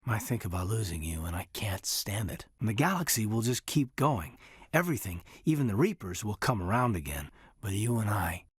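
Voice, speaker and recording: A man voicing an earnest, loyal military officer in a sci-fi video game, sincere and restrained, with a husky voice. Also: fairly low pitch, very animated, fairly quick, no echo, clean studio sound, full character voice. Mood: tender, intense. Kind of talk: naturalistic dramatic game dialogue.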